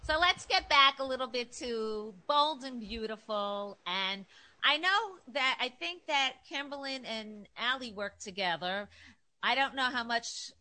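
A woman's voice: a little high, expressive, measured pace, pushed and bright. A woman with a New York accent hosting a casual chat, often cutting in to steer the talk. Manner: chatty, cuts in often. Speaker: host.

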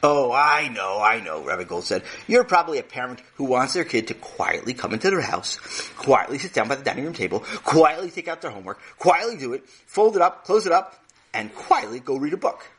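A man's voice: medium pitch, very animated, brisk, a bit bright, husky, heavily acted. A man voicing an eager, chatty male teacher who rambles effusively and lectures. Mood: lecturing. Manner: effusive, rambling, talks over others.

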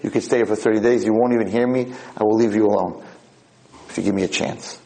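A man's voice: fairly low pitch, steady tone, quick pace, mellow, lightly acted, rough recording. A man voicing a kindly, happy-go-lucky elderly nursing home resident in a spoken story, earnest and prone to stammering. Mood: earnest, persuasive. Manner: earnest, stammering when flustered.

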